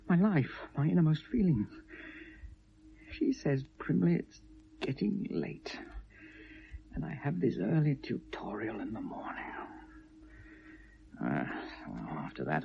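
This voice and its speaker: An actor playing a tormented, obsessive lovelorn British man confessing to police, halting and bitter, shifting from hushed to anguished in a 1970s radio drama. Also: lowish voice, vocal fry, muffled recording, full character voice. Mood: wounded, indignant. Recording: noisy vintage radio play recording.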